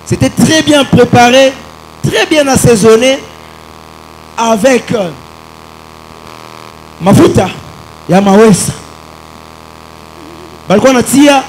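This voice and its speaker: A man's voice: very high voice, slight background noise, low-quality recording. A man telling a nostalgic story as a radio show contestant. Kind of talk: anecdotal answer on a radio show.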